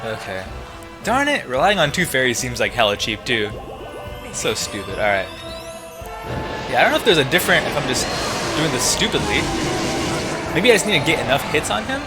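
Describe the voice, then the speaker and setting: Animated gamer guy over loud music. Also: medium pitch, brisk, long pauses. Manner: reactive, exclaiming, muttering frustrated asides. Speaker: let's play gamer. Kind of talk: casual gaming commentary with frequent swearing. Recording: loud game music behind voice. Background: loud video game music.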